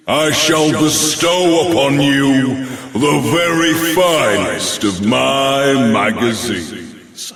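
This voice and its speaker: A man's voice: mid-range voice, a bit bright, full character voice. A man playing an ancient, imperious dragon lord in a fantasy video game, with a grandiose, theatrical delivery and amusement at mortals. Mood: wry, amused. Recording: echoing, long hall-like reverb.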